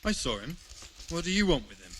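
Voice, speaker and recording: Shrewd higher-voiced medieval man. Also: sing-song, a little fast, a bit bright, a little hiss or hum. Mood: curious, decisive. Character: curious, shrewd medieval man, quietly decisive. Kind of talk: video game dialogue acting.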